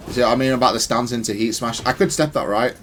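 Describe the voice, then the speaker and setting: A man's voice: medium pitch, fast talker, fairly bright tone, slight background noise. A man giving casual, analytical gameplay commentary in a matter-of-fact, self-critical way. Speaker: gaming content creator.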